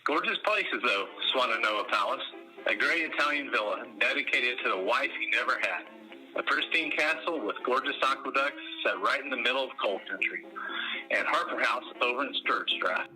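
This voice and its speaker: An actor playing a stuffy, learned historian from the in a radio drama, condescending and erudite. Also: male voice, mid-range voice, animated, normal speed, pushed and bright, soft background music, low-quality recording. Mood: admiring. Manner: erudite, patronizing. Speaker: museum curator being interviewed. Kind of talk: radio drama acting as interview guest.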